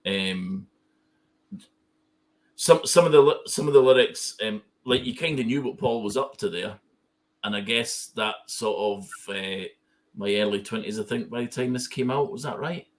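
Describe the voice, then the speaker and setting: Hesitant low-voiced man. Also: moderate pace. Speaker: guest fan. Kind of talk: casual conversation.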